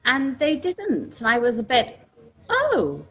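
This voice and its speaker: A woman who coaches speakers, talking as a guest on a video call in a lively, expressive way. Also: high voice, takes it slowly, slightly rough, muffled recording. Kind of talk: casual video call interview.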